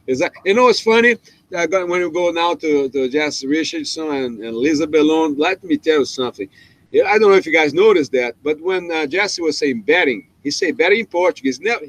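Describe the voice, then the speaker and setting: A man with a Brazilian accent chats casually as a host. His voice sits a little high for a man, and the sound is dry and close, with little room around it. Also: lively intonation, a little fast, fairly bright tone, dry recording, low-quality recording. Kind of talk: casual group video chat.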